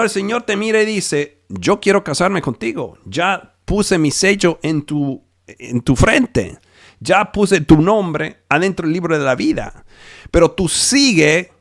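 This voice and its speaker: A male pastor preaching a sermon over a video call, in a slow, emphatic way with frequent restarts; Spanish is not his first language. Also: a little high.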